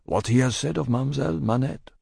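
A man voicing an anxious French tavern-keeper in an audiobook, speaking low and husky in a hesitant, pleading manner. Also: quick pace, a little mellow, no room sound, studio quality. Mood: uneasy, hushed.

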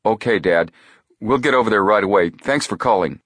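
A man voicing an earnest teenage boy detective with a brisk, eager manner in an audiobook. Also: on the low side, lively intonation, fairly bright tone.

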